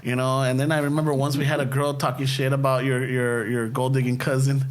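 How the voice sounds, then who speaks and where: A man's voice: mid-range voice, little pitch movement, fairly quick. A man with a Chicano English accent co-hosting a podcast in casual chat, rambling and restarting his sentences, often laughing. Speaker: podcast co-host.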